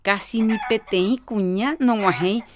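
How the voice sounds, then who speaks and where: A woman's voice: medium pitch, unhurried, slight background noise, natural voice. An actress playing an earnest woman health professional visiting a village in a radio soap opera.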